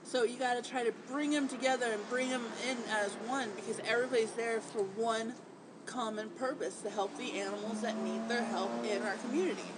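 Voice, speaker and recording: A chatty woman co-hosts a casual podcast, her voice stuffy and congested from a cold. She sits a little high for a woman and laughs easily. There is a clear background hiss on the recording. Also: steady tone, brisk. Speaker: podcast co-host, groomer. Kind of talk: casual chat. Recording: noisy home recording.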